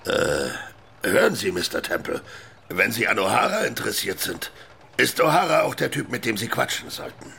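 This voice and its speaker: An actor playing a shady, slangy small-time crook in a radio crime drama, speaking gruffly and hesitantly. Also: male voice, fairly low pitch, very animated, normal speed, pushed and bright, a little hiss or hum, exaggerated voice. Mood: guarded.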